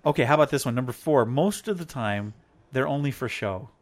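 A man's voice: medium pitch, lively intonation, fairly quick. A man chatting with his wife on a faith talk show, playful and rambling as he counts off points like a teacher. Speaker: co-host, devotional talk.

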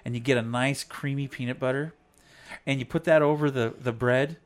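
A man's voice: medium pitch, fairly even intonation, normal speed. A man chatting with his wife on a faith talk show, playful and rambling as he counts off points like a teacher. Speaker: co-host, devotional talk.

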